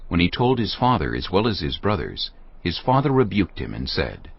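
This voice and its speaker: A man narrating scripture in a measured, expressive dramatic style. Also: deep voice, creaky, breathy edge, no echo. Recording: dull audio over background music.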